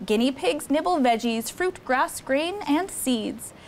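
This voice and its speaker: A young woman hosts a children's story time in a high voice full of swoops and lifts. She reads the picture book expressively and talks to the kids in an encouraging way. A low rumble of noise sits behind her voice. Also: lively intonation, measured pace. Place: studio set with seated children.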